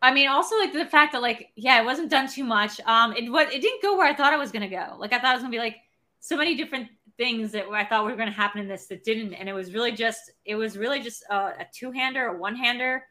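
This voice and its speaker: A woman's voice: fairly high pitch, quick pace, sharp tone. A young adult woman co-hosting a casual film chat, rambling along with lots of "like". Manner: rambling, heavy use of "like". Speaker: podcast and stream co-host.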